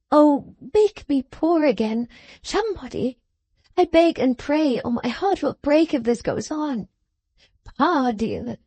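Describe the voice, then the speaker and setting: Woman reading a tearful young woman begging, raised pitch, storybook. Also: mellow, no room sound, exaggerated voice. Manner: pleading, breathless. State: crying. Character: young woman pleading in tears.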